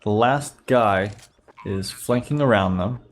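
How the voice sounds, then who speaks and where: A man's voice: on the low side, slow pace, a little hiss or hum. Slightly nasal man with a cold. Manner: relaxed play-by-play narration. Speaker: YouTube host reviewing gameplay. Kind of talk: casual gameplay commentary.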